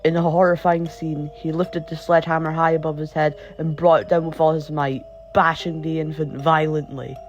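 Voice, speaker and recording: A man's voice: fairly high pitch, little pitch movement, moderate pace, few pauses. A man reading a scripted horror story as the narrator of an online video. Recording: dull, lo-fi voice track. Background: background music.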